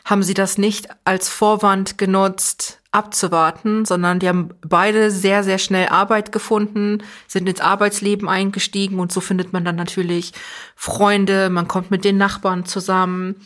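A woman's voice: medium pitch, little pitch movement, moderate pace, a bit bright. Earnest young woman, thoughtful interview guest. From Germany. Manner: thoughtful, earnest, self-correcting restarts. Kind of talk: reflective conversational interview.

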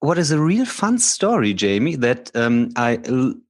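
A man's voice: mid-range voice, sing-song, measured pace, no echo. German guy cohosting a podcast, loose and giggly, dead dry mic. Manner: chatty, laughs often, mixes languages. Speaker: podcast co-host. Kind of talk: casual bilingual chat.

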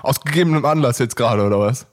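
A man in his late twenties co-hosting a casual podcast chat, joking, laughing often and filling pauses with hesitations. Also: mid-range voice. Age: late 20s. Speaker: podcast co-host.

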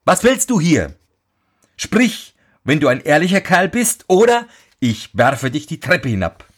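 Man voicing a raised, eager, naive young lad for a storybook, lively and slow-spoken. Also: fairly high pitch, voice pushed up. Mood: earnest.

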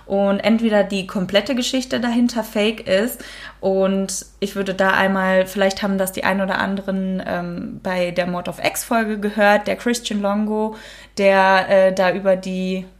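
A woman hosts a true crime podcast in a relaxed, chatty way, with frequent fillers. When she reads out the case, she switches to steadier storytelling. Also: medium pitch, a little slow. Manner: casual, many fillers, reads case notes aloud. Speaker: podcast host.

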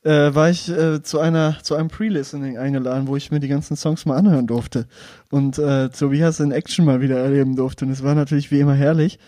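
A man's voice: a little high, fairly even intonation, fast talker, dark tone, dry recording, studio quality. A young man in casual podcast banter, teasing and laughing. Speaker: podcast co-host. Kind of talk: casual chat.

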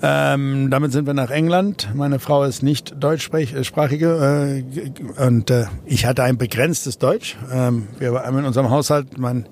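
A man's voice: mid-range voice, steady tone, unhurried, hardly any pauses, a little mellow, a little hiss or hum. A man from South Africa, an entrepreneur guest in an interview, talking casually with many fillers and restarts.

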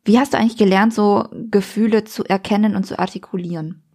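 A woman's voice: medium pitch, fairly even intonation, fast, mellow, breathy edge. A woman speaking hesitantly in a casual podcast conversation, searching for words about her relationships. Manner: searching, hesitant, many fillers.

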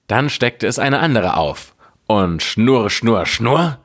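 A man narrating a scripted fairy tale as a storyteller, in a measured, deliberate way. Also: medium pitch, animated, fairly bright tone.

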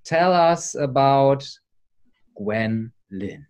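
A man hosting an interview, speaking English as a German native, in halting phrases with hesitant restarts. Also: medium pitch, expressive. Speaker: podcast host, interviewer. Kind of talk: interview questions.

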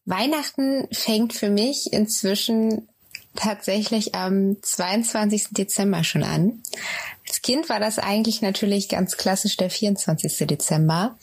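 A woman from Oldenburg who works at a Christmas market, speaking in a calm, unhurried way. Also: medium pitch. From Oldenburg, Germany. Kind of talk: personal account.

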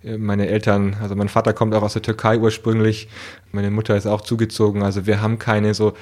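A man's voice: lowish voice, completely flat delivery, a little fast, darkish tone. A man from southern Germany talking casually and conversationally as the host of a podcast interview. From Nuremberg, southern Germany.

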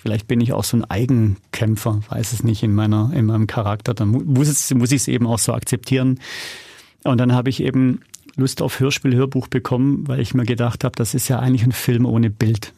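A middle-aged man speaks as an interview guest in a gravelly, creaky voice. He tells things in a colloquial, anecdotal way and tags his statements with short questions. The recording is dry, with no room sound. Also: mid-range voice, flat delivery, a little fast, dark tone, no room sound. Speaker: interview guest, audio drama producer. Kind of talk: casual interview conversation, anecdotal.

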